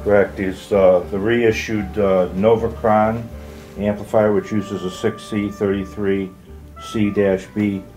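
Halting older man, very deep voice. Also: little pitch movement, darkish tone, faint music behind. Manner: halting, many pauses. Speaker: loudspeaker exhibitor. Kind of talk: unscripted product walk-through in an interview. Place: carpeted hotel room at an audio show.

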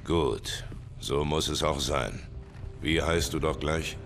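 A man dubbing a gruff older soldier father defending his home in a video game, with dry humor, over game music. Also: a bass voice, steady tone, slow pace, fairly bright tone, full character voice. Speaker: game character. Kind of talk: German game dub.

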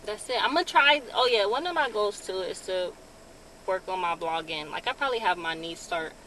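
Young woman, casual car vlog, relaxed chatty talk, phone mic, clear car ambience behind. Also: mid-range voice, fairly quick, rough recording. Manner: chatty, lots of ums, relaxed. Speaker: vlogger. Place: parked car, daytime. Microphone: phone camera on dashboard. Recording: car with clear background noise. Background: vehicle ambience.

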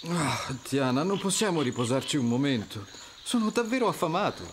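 Whiny young frog, lively pitch. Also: male voice, normal speed, a little hiss or hum. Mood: pleading, weary. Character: young male frog, whiny, easygoing, reluctant. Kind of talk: video game character acting. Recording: loud night swamp ambience.

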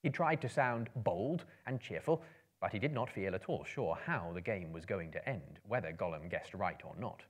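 Casting: male storyteller, thirties, lowish voice with animated swings, theatrical live book reading. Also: moderate pace. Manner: theatrical, expressive. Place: indoor room with bookshelves, small live audience.

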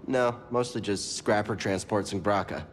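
Actor as an earnest young hero. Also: male voice, fairly low pitch, moderate pace, slight background noise, natural voice. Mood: easygoing, wary. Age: young adult. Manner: understated, earnest, dryly wry. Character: earnest, determined young male hero, former scrapper. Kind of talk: naturalistic video game acting. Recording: game music behind the voice. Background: clear cinematic music.

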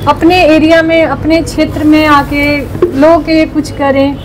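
A woman's voice: high-pitched, level tone, normal speed, noisy background. A woman who owns a restaurant, earnestly telling in an interview how she started the place. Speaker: restaurant owner. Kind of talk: interview answers.

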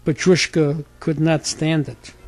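A man's voice: a little high, flat delivery, slow pace, a little mellow, faint music behind. A man reading a scripted fairy tale as a storyteller.

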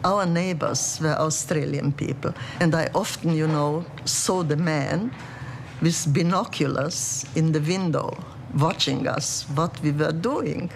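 An older woman from Czechoslovakia recalling her past in a documentary interview, speaking in a measured, reflective way. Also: low voice, gravelly, a little hiss or hum. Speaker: interviewee, refugee. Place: dark studio set, indoors.

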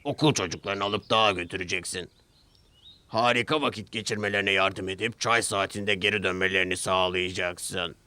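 Stout male controller for a children's storybook, done by a man; deep voice, formal, commanding, stern yet kind. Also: steady tone, moderate pace, sharp tone, no echo. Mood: formal, instructing. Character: stout, authoritative male railway controller, stern but kindly. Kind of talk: children's cartoon storybook character voice.

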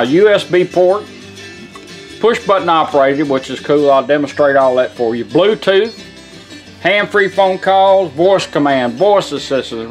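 A man giving an informal, plain-spoken product demonstration, folksy in manner. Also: mid-range voice. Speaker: presenter, car radio restorer. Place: indoor workshop bench.

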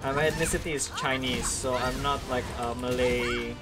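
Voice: medium pitch, steady tone, moderate pace. Young streamer, American English accent, casual rambling commentary, music playing underneath. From Indonesia. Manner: chatty, self-correcting, laughs often. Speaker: game streamer. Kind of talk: casual gameplay commentary, chatting with viewers.

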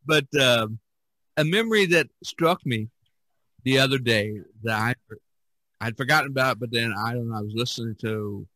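A man's voice: mid-range voice, normal speed, bright, forceful tone, dry recording, low-quality recording. A middle-aged or older American man from Indiana hosting a casual podcast chat, often chuckling as he talks. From Indiana, United States. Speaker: podcast host.

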